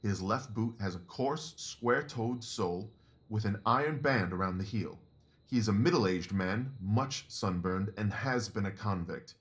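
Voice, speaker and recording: A man playing a shrewd, commanding Victorian gentleman detective in an audio drama, precise, assured and dryly superior. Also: on the low side, unhurried, breathy edge. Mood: assertive.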